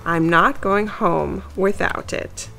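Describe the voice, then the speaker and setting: A woman voicing a bold, eager young female mouse in a storybook, excitable and adventurous. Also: slow, gravelly, soft background music, heavily acted. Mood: keen. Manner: squeaky exclamations, eager.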